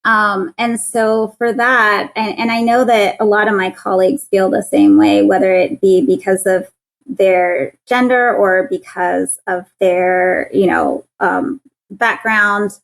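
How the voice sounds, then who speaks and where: A woman in her thirties whose voice sounds young, speaking at an ordinary middle pitch. She talks in an earnest, conversational way as an interview guest, often laughing and restarting her words. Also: fairly even intonation, slow pace, rough recording. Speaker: guest, patent attorney.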